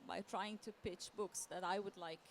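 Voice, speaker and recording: A woman giving a semi-improvised conference presentation as a literary translator, halting and often repeating words as she searches for phrasing. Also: fairly low pitch, steady tone. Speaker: literary translator, panel speaker. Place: live talk at a book fair event. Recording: clear background noise.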